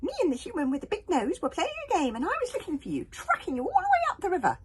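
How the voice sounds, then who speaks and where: A tiny, daft flying pig creature squeals in a very high, wildly swooping voice. It giggles and chirps, bursting with glee. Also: female voice, wildly swooping pitch, fast, noisy background, full character voice. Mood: excited squeals.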